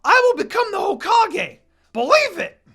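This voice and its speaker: A reader voicing a loud, brash, determined young ninja boy from an anime fan fiction. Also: extremely high pitch, sing-song, moderate pace, pitched above the performer's own voice, full character voice. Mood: defiant shouting. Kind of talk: animated fan fiction character reading.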